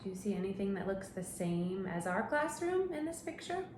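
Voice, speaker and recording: Woman teacher, picture book read-aloud, lively swooping voice, echoey room with faint hum. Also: medium pitch, normal speed. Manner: animated storytelling with questions to children.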